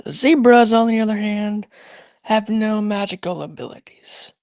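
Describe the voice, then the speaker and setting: A patient cartoon schoolteacher acted by a woman, explaining things slowly and gently brushing off doubts. The recording is dry and close, with a mid-range voice. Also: mid-range voice, no room sound, close to an everyday voice. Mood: instructive. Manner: measured, explanatory. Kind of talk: scripted cartoon fan voice acting.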